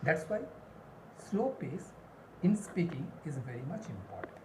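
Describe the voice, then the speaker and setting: A woman teaching spoken English in a deliberate, instructional way, repeating key phrases for emphasis. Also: low voice, big pitch swings. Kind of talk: instructional lesson with numbered points and examples. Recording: roomy echo, clear background noise.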